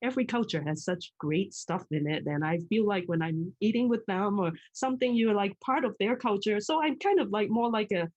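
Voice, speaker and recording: A woman's voice: medium pitch, expressive, fairly quick, hardly any pauses, a little mellow, dull audio. A middle-aged woman from Hong Kong, a guest in casual chat, talking freely with frequent laughs and restarts. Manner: chatty, laughs often, many restarts.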